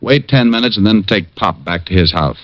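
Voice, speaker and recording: An actor playing a wisecracking private detective who narrates, in a 1950s radio drama. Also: male voice, on the low side, lively intonation, brisk, dry recording, close to an everyday voice. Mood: wry. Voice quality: wry, dry wisecracking. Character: wisecracking battered private eye. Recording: dull old radio transcription.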